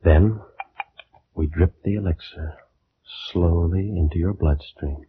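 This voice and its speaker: An actor playing a proud, fervent ancient Egyptian scientist in theatrical 1940s radio drama style. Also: male voice, sits low, takes it slowly. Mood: solemn, persuasive. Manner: theatrical, declamatory. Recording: noisy tinny 1940s radio recording.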